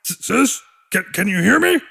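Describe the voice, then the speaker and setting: Man voicing a high, stammering little monster boy. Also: very animated, moderate pace, vocal fry, sharp tone, breathy edge, full character voice. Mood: panicked. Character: anxious, stammering young monster boy, proud little brother.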